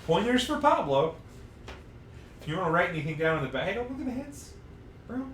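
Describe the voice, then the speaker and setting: A man's voice: sits high, sing-song, fairly quick, fairly bright tone, far from the mic. A man co-hosting a podcast in loose, informal, casual conversation. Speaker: podcast co-host. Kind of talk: casual chat. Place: untreated room, podcast recorded on a live stream. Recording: echoey room with noticeable background noise.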